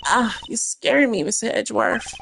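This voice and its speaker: A woman's voice: a little high, animated, moderate pace, vocal fry, a bit bright, slight background noise. A woman voicing an airy, cheerfully oblivious young woman in a video game, speaking in a casual, breezy way. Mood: airy, teasing.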